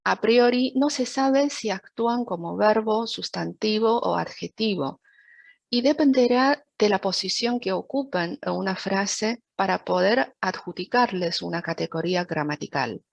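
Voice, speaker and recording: A woman from China, a native Chinese speaker, gives a prepared lecture as an interpreter in a formal, measured, didactic way. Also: medium pitch, animated, creaky, no echo, dull audio. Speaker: interpreter giving a talk. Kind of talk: prepared lecture, read from a script.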